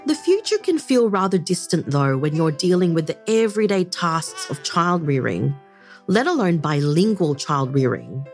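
Lively, low-voiced woman narrator. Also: moderate pace, soft background music. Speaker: podcast host. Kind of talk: scripted documentary narration.

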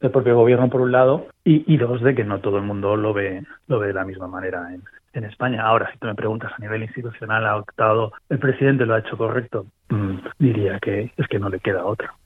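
A man's voice: on the low side, fast talker. A man from Spain with a Spanish accent, a guest commentator thinking aloud with many restarts on a remote call. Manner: thoughtful, restarts often. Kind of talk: casual interview talk. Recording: phone-like remote line.

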